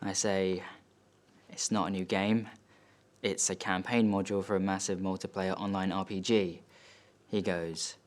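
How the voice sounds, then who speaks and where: A male actor plays a wealthy son, wounded and bitter, in a dramatic stage monologue. His voice sits on the low side. He tells his story in short, emphatic phrases with halting breaks between them. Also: flat delivery. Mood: wounded, indignant. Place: studio.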